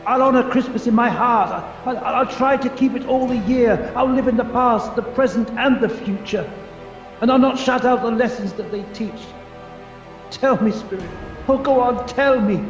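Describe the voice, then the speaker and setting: An elderly miser in an old-style radio play. His voice is high for a man and drawn out very slowly, full of stammers and repeated pleas. It rings through a long, cavernous reverb. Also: lively intonation, a little mellow, faint music behind. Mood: desperate, begging. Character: elderly miser, terrified and pleading, desperate to repent. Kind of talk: 1930s-style radio drama acting. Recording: muffled recording with long echoing reverb.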